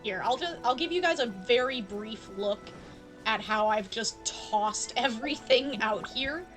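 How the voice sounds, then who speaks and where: High-voiced chatty woman streamer over music. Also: lively intonation, a little slow, fairly bright tone. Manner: chatty, playful, addressing viewers directly. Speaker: live game streamer. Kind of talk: casual chat to stream viewers during gameplay. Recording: background music behind voice.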